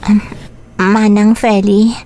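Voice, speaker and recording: A woman's voice: mid-range voice, little pitch movement, slow, dark tone, slight background noise. An actress with an Ilocano Filipino accent playing a bitter, hardheaded young mother in a radio drama. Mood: resentful.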